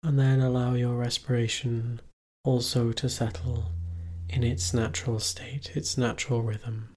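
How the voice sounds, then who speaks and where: A man with a slightly husky voice guides a meditation at a very slow pace. His pitch stays even and mid-range, and he leaves long pauses between short, calm instructions. He sounds measured, like a teacher leading practice.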